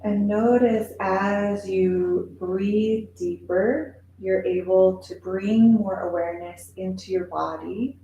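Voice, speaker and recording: A woman yoga instructor leading a guided relaxation meditation in a soothing, unhurried, instructional way with long pauses. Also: mid-range voice, warm tone, lo-fi sound. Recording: very echoey room with noise.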